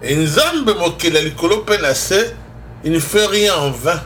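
Man, Congolese accent, high bright voice, slow emphatic delivery, conversational preacher, religious radio host, faint background noise. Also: animated. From Democratic Republic of the Congo. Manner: emphatic, switching between Lingala and French.

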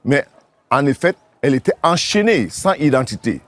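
A man, a pastor co-hosting a religious programme, exhorting viewers with dramatic pauses. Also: medium pitch, sing-song, fairly bright tone. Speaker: pastor and TV co-host. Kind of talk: evangelistic presenting to viewers.

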